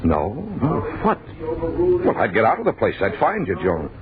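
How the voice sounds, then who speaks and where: A bewildered man narrates an old radio horror tale in halting, overwrought fragments. His pitch swings widely as he grows agitated. The sound is thin and band-limited, with a crowd murmuring behind him. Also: wildly swooping pitch, phone-quality sound. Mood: confused, alarmed. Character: bewildered, agitated man recounting a waking nightmare.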